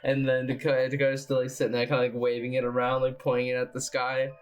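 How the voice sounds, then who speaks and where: A man's voice: medium pitch, monotone, fast talker, clear, resonant tone. A man playing a tabletop game, casually narrating his character's actions. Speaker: player.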